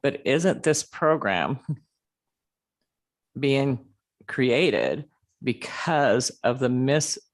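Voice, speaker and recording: A man's voice: medium pitch, big pitch swings, very slow, pauses often. A man serving as a city councilman, asking earnest questions in a plain-spoken way at a public meeting.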